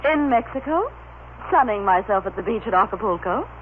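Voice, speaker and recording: A woman playing a cold, calculating, sharp-tongued society wife in an old-time radio soap opera. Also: mid-range voice, expressive, a little fast, lightly acted. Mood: gloating. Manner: haughty, sharp-tongued, imperious. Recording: scratchy, narrow-band old radio recording.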